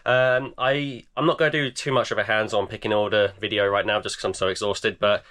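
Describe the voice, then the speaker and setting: A man in his thirties, croaky and tired, chatting casually in a vlog with lots of fillers. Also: on the low side, fairly even intonation, a little fast, fairly bright tone. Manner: tired, rambling with many fillers. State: croaky, voice almost gone. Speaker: eBay reseller vlogger. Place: home garage.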